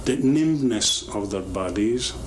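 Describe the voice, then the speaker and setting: A man's voice: lowish voice, animated, measured pace, a little hiss or hum. A man in an interview, explaining hesitantly and searching for words. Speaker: documentary interviewee.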